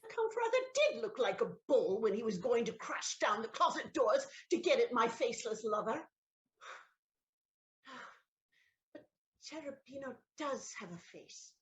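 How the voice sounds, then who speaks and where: High, swooping theatrical countess. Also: female voice, sing-song, normal speed, lo-fi sound. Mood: wistful. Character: theatrical noblewoman countess, scheming, romantic, betrayed wife. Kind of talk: stage comedy audio play acting.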